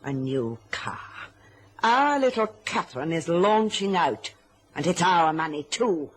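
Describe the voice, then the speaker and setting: A woman's voice: lowish voice, very animated, measured pace, slightly rough, full character voice. Scheming, domineering mother, swooping voice. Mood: sharp, resentful. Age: middle-aged. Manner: gushing endearments, imperious orders. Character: domineering, scheming, covetous mother with a silky-sweet false warmth. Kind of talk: radio drama acting.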